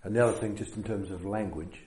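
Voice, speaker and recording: Deep-voiced New Zealand meditation teacher. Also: male voice, steady tone, darkish tone, roomy sound, a little hiss or hum, rough recording. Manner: unhurried, hesitant, many fillers. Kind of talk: dharma talk.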